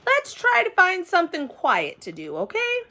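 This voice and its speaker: Woman plays patient, exasperated storybook mom: high, lively, coaxing and hushing. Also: moderate pace, full character voice. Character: patient but exasperated mother of a young girl.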